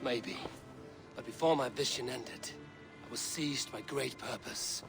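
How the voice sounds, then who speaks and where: An actor playing a solemn, intense Norse warrior in a cinematic video game, speaking in grave, deliberate, formal phrases. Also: male voice, medium pitch, vocal fry. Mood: grave, resolute. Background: music.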